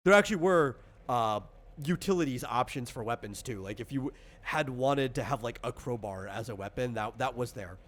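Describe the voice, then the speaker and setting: Guy running a tabletop rpg, chatting thru the rules, middle pitch, kinda rambly. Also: animated, moderate pace, fairly bright tone. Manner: rambling, conversational, frequent "like" asides. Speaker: game master and designer.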